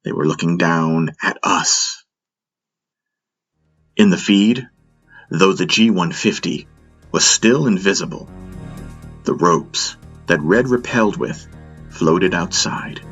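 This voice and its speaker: Low-pitched man, audiobook narration, slow deliberate delivery, dramatic, faint music underneath. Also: a bit bright, dull audio. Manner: measured, deliberate phrasing. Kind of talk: dramatic first-person scripted narration.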